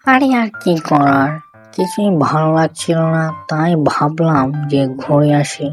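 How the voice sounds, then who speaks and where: A woman's voice: low voice, lively intonation, measured pace, faint music behind. A woman voicing a sly, deferential fox with a sheepish way of talking in a cartoon. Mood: casual.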